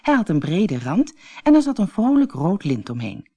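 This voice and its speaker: A woman reading a scripted children's story as an expressive storybook narrator. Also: lowish voice, moderate pace, breathy edge. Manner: expressive, acts out dialogue.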